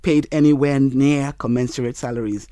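A man, a Yoruba speaker, telling his life story in an interview in an earnest, measured way. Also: medium pitch, fairly even intonation, mellow. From Nigeria. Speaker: interview guest.

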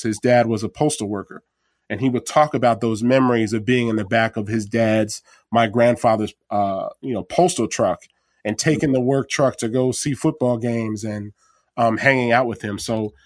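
A man's voice: medium pitch, no room sound, clean studio sound. A man reflecting in a heartfelt way on memories of his late father. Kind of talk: personal reflection.

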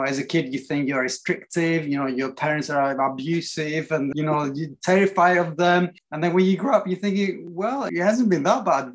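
A man from Greece, talking openly and reflectively as a podcast interview guest, with frequent fillers. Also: fairly high pitch. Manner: open, reflective, many fillers. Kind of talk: casual interview conversation.

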